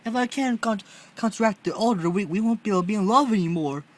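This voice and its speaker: Man playing storybook boy genius, high-pitched, lovesick, earnest, melodramatic, excitable. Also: quick pace, lightly acted. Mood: urgent. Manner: melodramatic, gushing, urgent.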